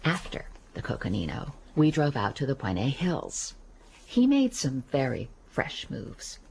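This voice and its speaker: An actress playing a guarded middle-aged American woman who gives halting, reluctant testimony in a naturalistic radio drama. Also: a bass voice, wildly swooping pitch, vocal fry, a little mellow, slight background noise, heavily acted. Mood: grim, matter-of-fact. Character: guarded, weary American woman witness recalling an assault.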